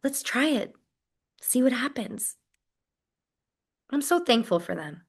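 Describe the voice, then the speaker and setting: A friendly, dedicated program associate played by a woman with a somewhat high voice. She talks in a chatty, enthusiastic way, as if telling her own story in a dramatized interview. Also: sing-song, slow, slightly rough, full character voice. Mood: grateful, enthusiastic. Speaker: performer portraying a university program associate. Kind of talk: dramatized first-person interview narrative, conversational.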